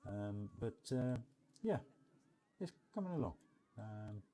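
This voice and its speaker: Deep, mellow man chatting casually. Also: big pitch swings, slow, slight background noise. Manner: rambling, thinking aloud. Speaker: video creator showing his game park build. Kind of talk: casual game walkthrough commentary.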